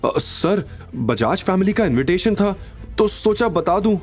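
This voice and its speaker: A man's voice: sits high, very animated, unhurried, darkish tone, husky, voice pushed up, heavily acted. A man voicing a timid male office secretary who speaks in a hesitant, apologetic, stammering way in an audio story. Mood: nervous.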